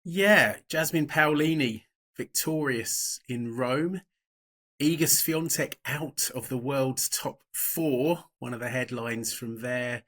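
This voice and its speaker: Bright-voiced podcast host. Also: male voice, medium pitch. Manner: brisk scripted intro, then relaxed and self-deprecating. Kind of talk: podcast hosting, betting preview chat.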